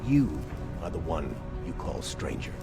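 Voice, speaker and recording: An actor playing a resolute resistance commander in a video game, speaking in a solemn, deliberate, authoritative way. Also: male voice, deep voice, big pitch swings. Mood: grave, measured. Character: resolute adult male resistance commander, grave and measured. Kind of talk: scripted video game dialogue. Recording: music behind the voice.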